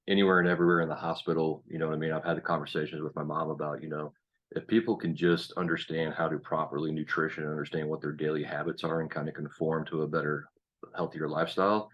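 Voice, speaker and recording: A man's voice: very deep voice, muffled recording. A man in his late thirties from Kansas hosting a podcast, talking in a laid-back, rambling way with lots of fillers. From Kansas, USA. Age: 30s. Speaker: podcast host. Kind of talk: casual podcast interview.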